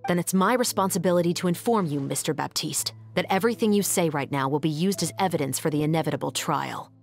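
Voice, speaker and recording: A young female musketeer captain from an anime game. Her voice is on the low side, measured and formal, with composed authority and faint music behind. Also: creaky. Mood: stern. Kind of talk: anime video game voice acting.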